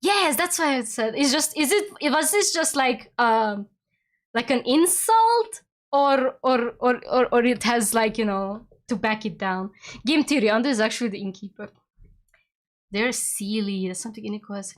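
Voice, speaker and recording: Giggly young woman streamer. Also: on the high side. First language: Hungarian. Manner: giggly, rambling, talks in quick excited bursts. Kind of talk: casual chat with viewers during a game stream.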